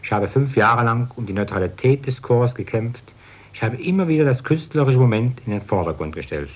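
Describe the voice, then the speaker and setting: Husky man making a solemn formal statement. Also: medium pitch, animated, brisk. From Dresden, East Germany. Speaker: choir director.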